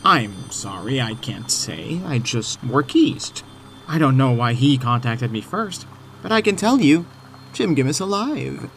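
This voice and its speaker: A man's voice: mid-range voice, sing-song, unhurried, vocal fry, slight background noise. An actor in an audio drama playing an insistent, wily messenger who is pointedly polite to his superiors. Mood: grave, insistent.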